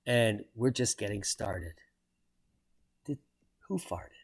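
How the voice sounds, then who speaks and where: A man's voice: fairly low pitch, lively intonation, slow pace, vocal fry, slightly rough. A man hosting his own channel, reading weather and earthquake headlines aloud and breaking off for sardonic asides and sudden exclamations. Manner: exclaims loudly at surprising items. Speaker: channel host reading weather and geology news. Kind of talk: solo commentary reading headlines.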